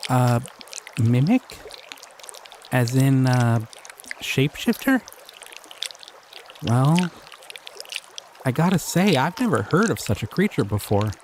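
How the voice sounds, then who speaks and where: A man voicing a gentle, slow-talking slime. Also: mid-range voice, sing-song, a little hiss or hum. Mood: curious. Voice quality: soft-spoken, easily delighted, chuckles often. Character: gentle, curious young male slime forager. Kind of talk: scripted audio roleplay.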